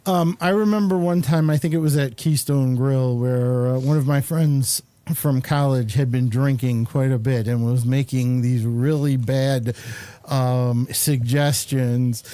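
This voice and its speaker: A man hosting a casual interview chat, asking questions in a halting, hesitant way. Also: mid-range voice, steady tone, warm tone, no room sound. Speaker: show host.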